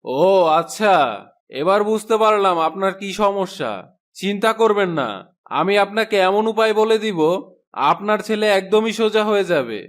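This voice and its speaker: High-voiced affable village schoolmaster played by a man. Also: moderate pace, close to an everyday voice. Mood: affable, reassuring. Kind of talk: cartoon folk-tale voice acting.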